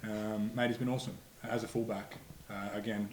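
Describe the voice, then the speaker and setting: Young Aussie rugby player, fast flat delivery, casual press-room answers, echoey room. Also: male voice, fairly low pitch, background noise. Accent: Australian. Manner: laid-back, slangy, matter-of-fact.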